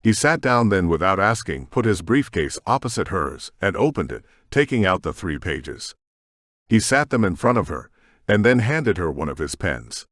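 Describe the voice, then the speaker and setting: Low-voiced male audiobook narrator. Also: very animated, normal speed, fairly bright tone, dry recording, clean studio sound. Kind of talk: scripted narration.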